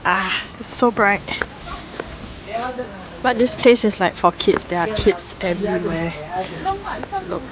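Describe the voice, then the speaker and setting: Young female travel vlogger, informal and slow-paced, phone audio buried in loud outdoor ambience. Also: mid-range voice. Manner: informal, offhand reactions. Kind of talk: casual handheld vlog chat. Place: out and about, mostly outdoors at tourist spots. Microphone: handheld phone. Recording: very noisy outdoor phone recording.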